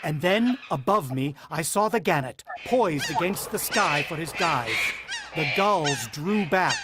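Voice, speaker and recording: An actor playing a rattled, protective farmhand husband in a suspenseful, vintage-style radio drama. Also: male voice, a little high, animated, measured pace, very noisy. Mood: tense, breathless narration. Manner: dramatic, suspenseful first-person storytelling.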